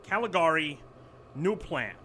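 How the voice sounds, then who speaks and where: Sardonic harried boss, higher-pitched voice, animated, urgent and exasperated, audio drama. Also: male voice, measured pace, a little hiss or hum. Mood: urgent, commanding. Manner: sarcastic, urgent, commanding. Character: exasperated, sardonic male company executive under pressure.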